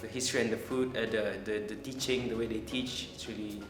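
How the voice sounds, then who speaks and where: A man's voice: on the low side, flat delivery, fairly quick. A man from Brunei, a culinary student who rambles and repeats words in an interview testimonial. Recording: echoey room with background music.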